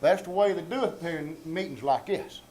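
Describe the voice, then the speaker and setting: A man's voice: a little high, brisk, darkish tone, lightly acted. A man playing a self-important, scheming rural old-timer with a Southern American accent, folksy and mock-formal, in a radio comedy reenactment. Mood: pompous. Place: small-town theater stage before an audience. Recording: live theater recording with background noise.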